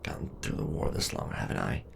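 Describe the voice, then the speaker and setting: A man playing a gruff, loyal, war-weary Decepticon robot soldier in an ASMR audio drama, with a deep gravelly voice. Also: fairly quick, background noise, natural voice. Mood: defiant, gruff.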